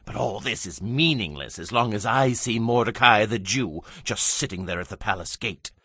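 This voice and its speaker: An actor playing a vain, boastful royal official and villain in a dramatized audio Bible, in a theatrical, self-important manner. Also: male voice, lowish voice, expressive, moderate pace, sharp tone, slightly rough, no echo, clean studio sound, full character voice. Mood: bitter.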